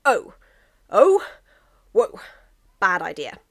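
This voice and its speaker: A woman voicing a tipsy, pompous, stubborn man in a story, with halting drunken declarations. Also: medium pitch, wildly swooping pitch, vocal fry, fairly bright tone, full character voice. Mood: muddled, agreeable.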